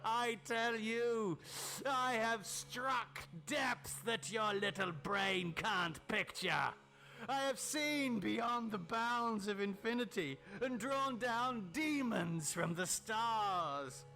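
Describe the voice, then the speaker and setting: A man's voice: high-pitched, soft background music, exaggerated voice. A man voicing a mad, gloating scientist full of hatred in a horror audiobook, theatrical and taunting, drawing out his words. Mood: raving, grandiose. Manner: theatrical, taunting, drawn-out words, shouted outbursts. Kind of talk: dramatic horror audiobook character reading.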